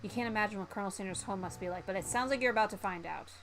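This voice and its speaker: A woman streamer reading a visual novel aloud in a theatrical, expressive way. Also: mid-range voice, racing.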